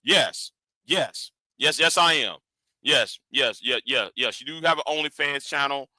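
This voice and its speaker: A man's voice: medium pitch, unhurried, bright, forceful tone, no room sound. A man in his forties, a live stream host, rambling in casual commentary with lots of stammered repeats. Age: 40s.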